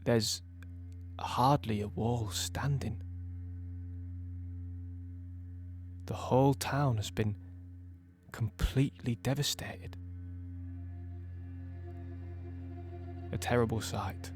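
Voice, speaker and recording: An actor playing a young British tank gunner in an audio play monologue, plain-spoken and reflective, in short halting phrases. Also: male voice, medium pitch, soft background music, lightly acted. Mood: grim, sombre.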